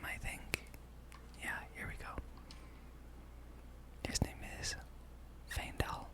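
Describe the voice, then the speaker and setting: A person whispering an ASMR gameplay ramble as host, thinking aloud. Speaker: gaming channel host.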